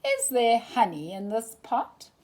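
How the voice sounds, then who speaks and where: A soft, hesitant little storybook bear who loves honey, as a woman puts him on. The voice swoops up and down with lively pitch and moves slowly, with a husky edge. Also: full character voice. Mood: gentle. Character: gentle honey-loving bear, male, small.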